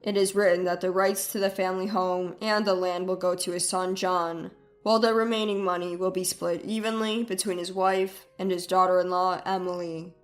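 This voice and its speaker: Woman playing a formal lawyer, lowered voice. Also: fairly even intonation, faint music behind, natural voice. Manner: measured, official. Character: formal, even-tempered male family lawyer.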